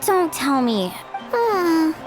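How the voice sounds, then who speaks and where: A woman's voice: extremely high pitch, big pitch swings, drawn-out words, heavily acted, low-quality recording. A woman voicing a bubbly, confident young female athlete in a video game, full of exclamations. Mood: curious. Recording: loud music behind voice.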